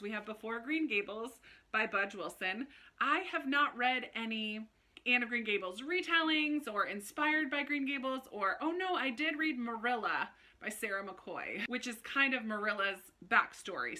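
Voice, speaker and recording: A woman's voice: on the high side, pushed and bright. A woman talking casually and chattily to viewers as a book vlogger, with an informal manner. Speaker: book vlogger, channel host.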